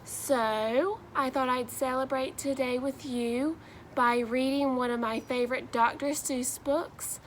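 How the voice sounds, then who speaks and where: A woman, reading aloud to children in a deliberate, sing-song storybook way. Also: high-pitched, steady tone, slight background noise. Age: 20s. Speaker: new education graduate reading a children's book.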